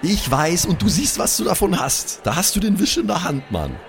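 A man's voice: high-pitched, very animated, moderate pace, vocal fry, faint music behind. A man improvising role-play as a cocky superhero, brash and slangy, dropping in English words. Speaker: tabletop role-player.

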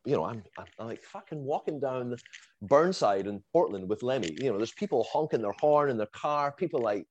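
A man with a Scottish accent, casually telling a lively story as a guest and laughing and swearing along the way. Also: mid-range voice, brisk, dull audio. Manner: animated, swears, laughs while telling, acts out quotes.

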